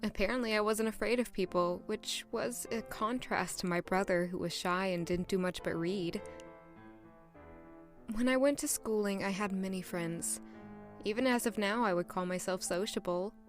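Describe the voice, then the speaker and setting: An actress playing a caring, sociable young maid in an audio drama, speaking softly and earnestly in dialogue and reflective narration. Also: female voice, mid-range voice, normal speed, soft background music, natural voice. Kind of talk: audio drama acting and first-person narration.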